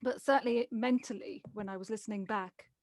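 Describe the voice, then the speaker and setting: A woman's voice: medium pitch, steady tone, fairly quick, a little mellow, no echo, studio quality. A woman, a producer talking conversationally in an interview about her work. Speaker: voice actor and producer.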